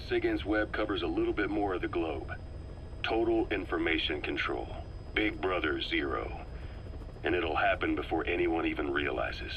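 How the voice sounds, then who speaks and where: Cool calculating spy type, low voice, sharp edge, steady measured briefing, game tape. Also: male voice, slight background noise, poor audio. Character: shrewd male intelligence operative, calm and calculating. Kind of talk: video game cassette tape dialogue.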